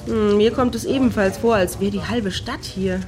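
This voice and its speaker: An actress playing a sensible, sceptical friend in a radio satire, with a dry, chiding manner. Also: female voice, mid-range voice, normal speed, a crowd in the background, natural voice. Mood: doubtful. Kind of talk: German radio play dialogue.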